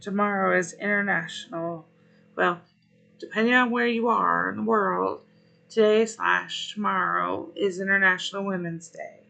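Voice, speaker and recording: A man hosting a relaxed livestream, greeting viewers one by one while his voice is going hoarse and rough. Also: high voice. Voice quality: rough, gravelly. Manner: unhurried, friendly greetings between pauses. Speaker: livestream host. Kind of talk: relaxed gaming stream chat, greeting viewers.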